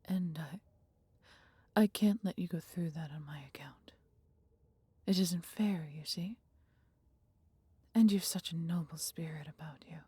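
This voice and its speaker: A woman voicing a mighty female dragon, proud yet caring, with breathy, husky tones and archaic, theatrical phrasing in a fantasy audio roleplay. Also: on the low side, unhurried, long pauses, mellow, no room sound. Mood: tender, sorrowful.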